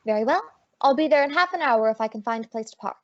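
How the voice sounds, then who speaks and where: A woman's voice: high voice, animated, fast, no room sound. A woman playing a sassy, breezy lady detective with wry quips in an old-time radio drama. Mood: breezy, teasing. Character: sassy, wisecracking lady private detective, confident and flirtatious. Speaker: detective lead and narrator. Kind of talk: old-time radio detective acting and first-person narration. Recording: dull lo-fi audio.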